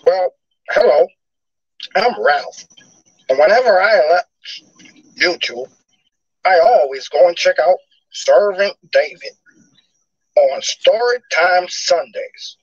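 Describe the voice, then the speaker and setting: An eager male fan, played by a man, talks up a channel in a husky, fairly high voice, sounding salesy and excited. The sound is dry and dull, like a lo-fi home recording. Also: slow, long pauses, no room sound, full character voice. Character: enthusiastic male fan giving a testimonial.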